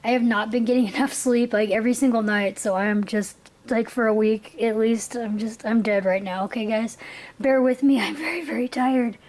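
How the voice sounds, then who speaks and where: A woman's voice: fairly high pitch, steady tone, moderate pace, darkish tone. A tired young woman rambling and giggling through a casual haul video, her voice worn out. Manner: rambling, giggly, with frequent restarts. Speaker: vlogger.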